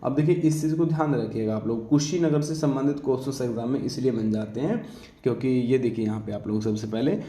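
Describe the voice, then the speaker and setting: A man's voice: medium pitch, a little fast, dark tone. A man giving an informal exam-prep lecture, instructing students with frequent check-in tags and questions he answers himself. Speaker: exam coaching teacher. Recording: echoey room.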